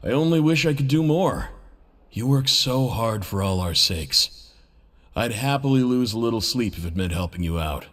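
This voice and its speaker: An actor playing a friendly, devoted guard in a video game, obliging and admiring, casual and expressive. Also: male voice, medium pitch, moderate pace, lightly acted. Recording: faint music under the voice.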